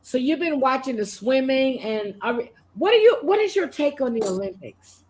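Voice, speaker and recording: A woman hosting a live comedic recap chat, excitable and gushing as she interviews a guest. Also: high voice, normal speed. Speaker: host.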